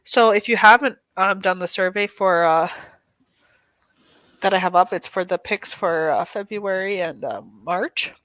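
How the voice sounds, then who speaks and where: Bright-voiced woman co-host. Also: mid-range voice, unhurried, no room sound, rough recording. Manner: chatty, filler-heavy, upbeat. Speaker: co-host, book club runner. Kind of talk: casual chat.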